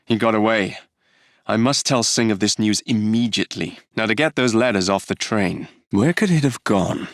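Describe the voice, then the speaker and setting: A man's voice: on the low side, moderate pace, no echo, studio quality, natural voice. A man playing a cocky young Victorian London gang leader in a video game, with an English accent and a cheeky, wry manner. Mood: brash, businesslike.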